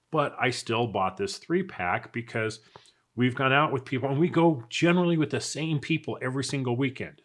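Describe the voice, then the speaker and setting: A man's voice: lowish voice, a bit bright. An American man speaking conversationally, giving casual advice and opinions as a product reviewer talking to viewers. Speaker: product reviewer and off-road video host. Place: indoors in a house.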